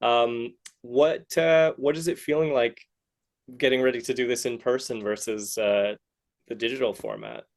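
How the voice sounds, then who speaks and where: A man hosting a casual interview podcast, speaking haltingly and circling back to rephrase his questions. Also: medium pitch, animated, gravelly. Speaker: podcast host interviewing artists.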